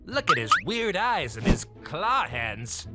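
A man playing a pompous, scheming, suspicious spaceship captain in an animated cartoon, with theatrical, wordy delivery. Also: a little high, takes it slowly. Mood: suspicious, accusing. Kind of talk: animated web cartoon acting. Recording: loud music behind the voice.

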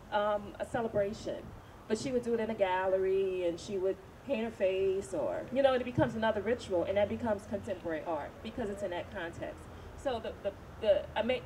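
A woman's voice: mid-range voice, mellow. A woman in her thirties answering questions on a panel, thoughtful, with many fillers and restarts. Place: outdoor evening event. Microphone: handheld microphone. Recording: distant camera audio, noisy outdoors.

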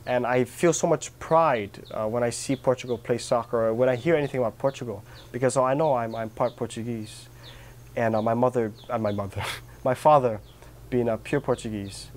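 A young man from Kauai, Hawaii, speaking earnestly and sincerely in an interview, pausing often with fillers and restarts. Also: medium pitch. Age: young adult. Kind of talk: reflective personal interview. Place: outdoor patio with garden.

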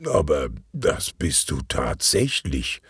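A man voicing a determined, jovial older police detective uncle who grumbles deliberately in a children's audio story. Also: rumbling low, very animated, fairly bright tone, slightly rough, no echo, clean studio sound, full character voice. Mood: pleased.

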